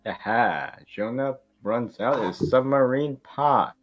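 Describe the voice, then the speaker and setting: A man's voice: medium pitch, takes it slowly, muffled recording, soft background music. A man reading stage directions at an amateur table read in a casual, irreverent, joking way with swearing ad-libs. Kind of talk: casual, joking script narration.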